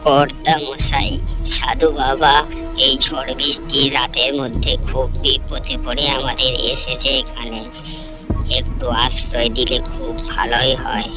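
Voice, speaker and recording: A man's voice: medium pitch, little pitch movement, a little slow, lightly acted. A man voicing a humble, devout old household servant in a cartoon folk tale, speaking respectfully. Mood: reverent, humble. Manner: respectful, reverent. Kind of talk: Bengali animated folk-tale dialogue. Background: music.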